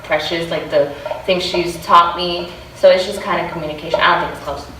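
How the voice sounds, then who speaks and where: Casual young woman panelist, low voice. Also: steady tone, fairly quick, bright, forceful tone, slight background noise. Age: young adult. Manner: casual, many "like" fillers. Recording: distant echoey hall recording.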